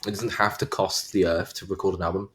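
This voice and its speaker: Very deep-voiced relaxed man. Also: fast talker, a little hiss or hum. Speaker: band bassist. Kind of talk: casual interview answers.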